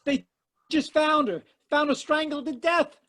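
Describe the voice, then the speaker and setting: An actor playing a panicked messenger in an old-time radio drama, who stammers frantically. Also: male voice, extremely high pitch, a little slow, bright, forceful tone, heavily acted. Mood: frantic. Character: panicked messenger bringing news of a murder.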